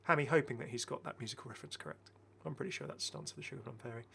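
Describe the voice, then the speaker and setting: A man's voice: medium pitch, racing, vocal fry, fairly bright tone, a little hiss or hum. Chatty, enthusiastic man. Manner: chatty, enthusiastic, repeats phrases. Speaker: gaming video host. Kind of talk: casual game skin showcase commentary.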